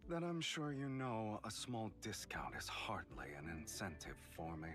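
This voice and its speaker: Cool, guarded young dealer. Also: male voice, lowish voice, very animated, unhurried, music in the background. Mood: teasing.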